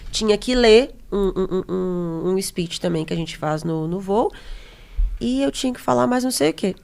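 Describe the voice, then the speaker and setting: A woman's voice: medium pitch, lively intonation, moderate pace, vocal fry, darkish tone. A woman who works as a flight attendant, chatting on a podcast and telling stories with many restarts. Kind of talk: casual podcast conversation.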